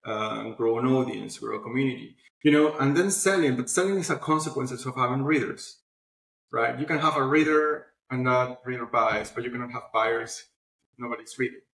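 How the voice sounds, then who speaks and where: A man's voice: medium pitch, normal speed, gravelly, fairly bright tone. Man explaining in a roomy echo. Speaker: interview guest, publishing platform founder. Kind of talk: conversational interview answers.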